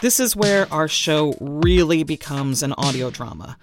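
A woman's voice: low voice, expressive, unhurried. A woman reading a scripted podcast introduction as a show's co-creator, politely promotional in manner. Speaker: audio drama co-creator. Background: music.

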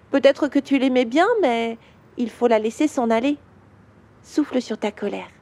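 Woman as a caring little girl, light high voice, warm tone, patient and soothing, storybook. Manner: soft, patient, guiding. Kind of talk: children's audio story.

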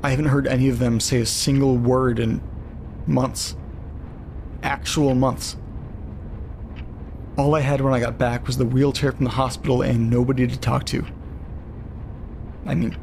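A man's voice: medium pitch, darkish tone, slight background noise, natural voice. An actor playing an uneasy, lonely man reading eerie letters aloud in an audio drama monologue. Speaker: narrator.

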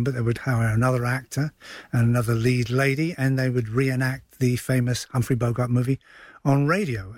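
A man's voice: medium pitch, darkish tone, no echo. A man speaking as a theatre director and studio guest, explaining things conversationally like a storyteller. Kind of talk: conversational interview.

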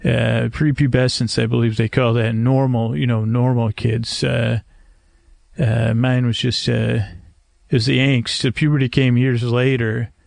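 Creaky-voiced man rambling softly toward sleep. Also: medium pitch, little pitch movement, dry recording, clean studio sound. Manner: hesitant, meandering, measured, gently self-deprecating. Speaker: podcast host. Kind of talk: rambling, soothing bedtime monologue to help listeners sleep. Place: screened porch at night.

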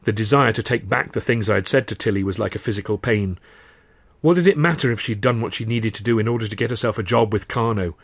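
A man's voice: on the low side, no room sound. English man narrating a novel. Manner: measured first-person storytelling. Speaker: author reading own novel aloud. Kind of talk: dramatised audiobook narration.

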